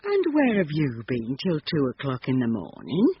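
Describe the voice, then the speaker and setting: An actress playing an earthy, exasperated, teasing councillor's wife in a British radio drama. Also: female voice, extremely low pitch, wildly swooping pitch, moderate pace, slightly rough, full character voice. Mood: suspicious, nagging. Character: woken, nagging, earthy wife of a town councillor. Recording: dull, noisy lo-fi radio recording.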